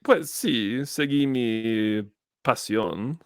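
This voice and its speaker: A man in his thirties, a school teacher, chatting as a podcast co-host and telling stories at a relaxed, drawn-out pace. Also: medium pitch, clear, resonant tone, dry recording, studio quality. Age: 30s. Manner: relaxed, drawn-out storytelling, switching into Spanish. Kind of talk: casual chat.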